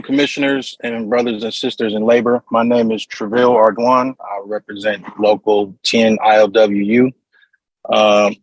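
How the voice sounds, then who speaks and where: A man giving a prepared public comment as a union representative on a remote call, measured and reading from notes. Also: fairly low pitch, dry recording, low-quality recording. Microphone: remote call audio.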